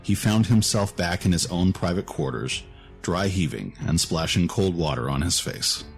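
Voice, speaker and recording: Very deep-voiced man narrating a story. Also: lively intonation, moderate pace, gravelly. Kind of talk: scripted dramatic story narration. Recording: music behind the voice.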